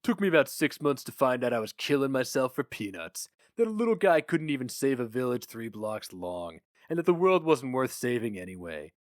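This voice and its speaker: A man voicing a brash, cynical, fast-talking male publicity boss, barking and emphatic, in a story reading. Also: mid-range voice, sing-song, no echo, studio quality, lightly acted. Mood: wry cynical.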